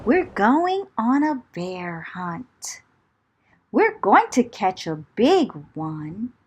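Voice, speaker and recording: A woman's voice: on the high side, sing-song, drawn-out words, a little mellow, husky. A woman reading a picture book aloud to children in a dramatic, theatrical way, with rhythmic chanting phrases. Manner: dramatic, theatrical, with whispers and exclamations. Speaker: children's storyteller.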